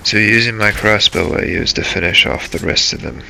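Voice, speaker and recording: A man narrating a game role-play as a first-person story, in halting, broken phrases. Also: lowish voice, creaky, bright, forceful tone, faint music behind, poor audio.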